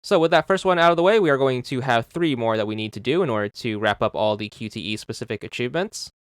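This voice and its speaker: A man's voice: medium pitch, lively intonation, fast, a little hiss or hum. A man narrating a game achievement walkthrough, methodically repeating step-by-step instructions into a close microphone. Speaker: achievement guide host.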